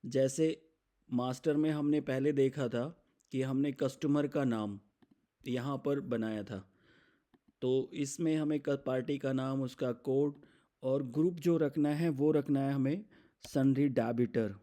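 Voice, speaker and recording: An Indian man presenting a step-by-step software demo in an explanatory, instructional manner, mixing in English terms. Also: mid-range voice, measured pace.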